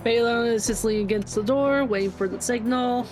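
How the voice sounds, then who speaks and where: A woman's voice: on the high side, brisk. A woman playing a tabletop roleplaying game, casually narrating her character in the third person. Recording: music playing behind. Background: background music.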